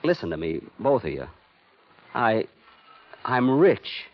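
A man's voice: lowish voice, big pitch swings, takes it slowly. An actor playing a flustered, stubborn married man in a 1950s radio drama, stammering when upset. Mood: excited, insistent. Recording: muffled 1950s radio recording with music.

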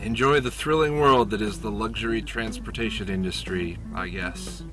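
An actor playing a grumpy, unimpressed driving instructor and coach in a comic game, deadpan and grudging. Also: male voice, on the low side, moderate pace, over music, natural voice. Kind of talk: comic game cutscene acting.

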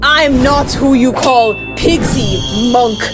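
Cartoon pig demon chef woman performer: high, pompous, foul-mouthed, barking, music behind. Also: slow. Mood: pompous menace. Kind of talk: fan cartoon dub.

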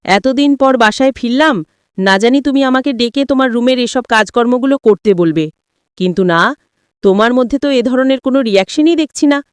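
A woman's voice: fairly high pitch, moderate pace, clear, resonant tone, no echo, clean studio sound, lightly acted. A woman storyteller voicing a teasing, touchy young husband who needles with questions in an audio story. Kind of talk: acted dialogue in an audio story.

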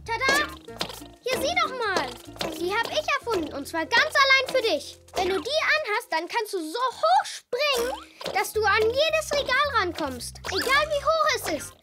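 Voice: very high-pitched, big pitch swings, normal speed, loud music behind the voice. A performer voicing an eager, proud young zebra boy inventor in a children's cartoon. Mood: proud, excited. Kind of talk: dubbed children's cartoon acting.

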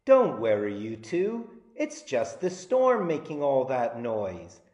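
A man voicing a gentle, reassuring mother in a storybook read-aloud. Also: mid-range voice, huge pitch swings, slow pace, reverberant.

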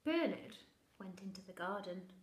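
A young adult woman, a primary teacher, reading a picture book aloud to young children in a gentle, expressive way. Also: mid-range voice, normal speed. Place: living room indoors. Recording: roomy, echoey home recording.

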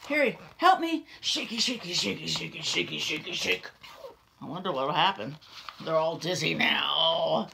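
A woman's voice: sits low, animated, a little slow, vocal fry, pushed and bright, slight background noise. A middle-aged woman reading a picture book aloud to young children, theatrical and full of questions to them. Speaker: nursery school teacher reading aloud. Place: small room at home or office, indoors.

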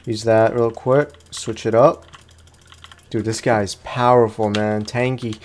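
A man's voice: on the low side, little pitch movement, takes it slowly, darkish tone, a little hiss or hum. A man giving casual let's play commentary, talking to himself and his opponent in slangy speech. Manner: slangy, talks to himself and to the game enemy. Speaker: gaming host, let's play streamer.

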